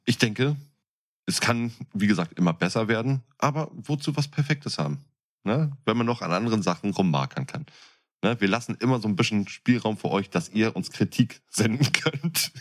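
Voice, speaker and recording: A man's voice: lowish voice, animated, normal speed, fairly bright tone. A man co-hosting a podcast, chatting casually in a playful, joking way. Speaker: podcast co-host. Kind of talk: casual chat.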